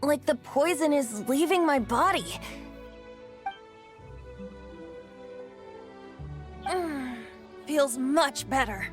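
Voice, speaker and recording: A woman's voice: high-pitched, animated, unhurried, soft background music. A woman voicing a playful, boastful young male bard in a video game, with a light, teasing manner. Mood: wistful.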